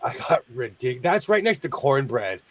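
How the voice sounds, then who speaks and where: A man's voice: mid-range voice, sing-song, normal speed. A man hosting a sewing show, chatting casually and jokily while he works, often trailing off mid-sentence.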